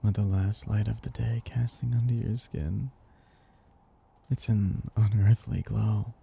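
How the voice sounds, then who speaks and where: A man voicing a seductive, possessive vampire master in an ASMR roleplay, breathy and gravelly, speaking in a slow, gently domineering, teasing way. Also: on the low side, fairly even intonation, exaggerated voice. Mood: tender, possessive. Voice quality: breathy, gravelly, often dropping into whispers. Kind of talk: ASMR roleplay, intimate scripted monologue.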